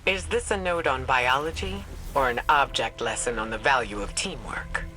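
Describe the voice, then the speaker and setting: A man's voice: mid-range voice, normal speed, noisy background, lightly acted. A man playing a thoughtful, wry sci-fi companion in a video game. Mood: wry, musing. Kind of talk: scripted video game dialogue. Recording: music behind.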